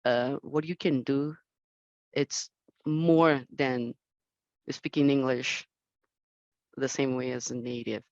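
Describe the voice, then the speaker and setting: A woman's voice: a bass voice, fairly even intonation, takes it slowly, long pauses, darkish tone, no room sound, muffled recording. A Brazilian woman, a native Portuguese speaker, talking casually as a panelist in accented English and searching for words.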